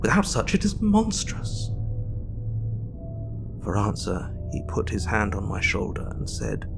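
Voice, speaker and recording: An actor playing a grieving Victorian doctor who recounts his diary in formal, measured narration for an audio drama. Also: on the low side, very animated, gravelly, music in the background, exaggerated voice. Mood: outraged, anguished. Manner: formal, measured literary storytelling.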